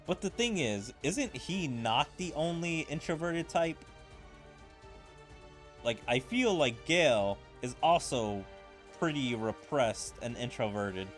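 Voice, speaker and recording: A man's voice: medium pitch, animated, slow, soft background music. A man giving casual let's-play commentary in a musing, informal way, with filler-heavy asides. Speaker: gaming video host. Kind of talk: casual let's-play commentary, reading game dialogue aloud.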